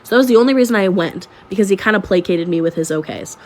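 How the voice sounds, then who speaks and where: A woman's voice: mid-range voice, fast. A young woman who is sick and a little congested, telling a messy personal story in a sarcastic, dramatic storytime vlog. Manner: animated, sarcastic, dramatic retelling.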